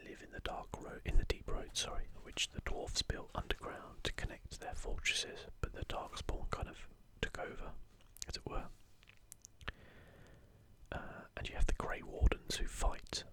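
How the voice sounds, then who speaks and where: Male voice, constant breathy whisper, unvoiced, ASMR commentary, video host. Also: measured pace.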